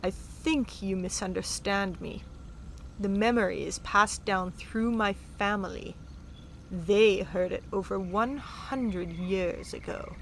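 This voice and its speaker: A woman's voice: mid-range voice, slow pace, vocal fry, slight background noise. A woman playing a haughty, savage countess in a sci-fi audio drama, languid, mocking and menacing. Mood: poised, probing. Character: haughty, savage countess, cool sardonic schemer. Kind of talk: full-cast sci-fi audio drama acting.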